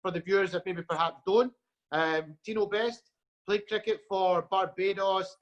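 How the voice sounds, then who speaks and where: High-voiced host, dull call audio. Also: male voice, steady tone. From Scotland. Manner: friendly, unhurried, many fillers. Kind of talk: video-call interview.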